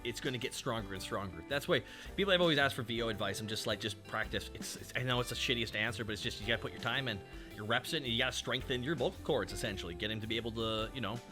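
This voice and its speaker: A man's voice: medium pitch, very fast, music in the background. A male streamer chatting casually and doing cartoon voice impressions. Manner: animated, does cartoon impressions. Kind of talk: casual chat.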